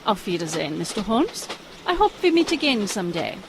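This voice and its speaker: A woman's voice: mid-range voice, big pitch swings, brisk, vocal fry, background noise. A woman playing a cunning, composed German spy.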